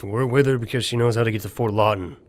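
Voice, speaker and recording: A man's voice: fairly low pitch, steady tone, quick pace, natural voice. A man playing a socially awkward, guarded young man in an amateur audio drama, blunt and offhand.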